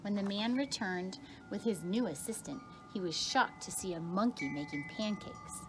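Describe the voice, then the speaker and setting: A woman's voice: medium pitch, moderate pace, over music, rough recording. A woman teacher reads a children's picture book aloud expressively, drawing out key words for emphasis. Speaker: kindergarten teacher reading aloud.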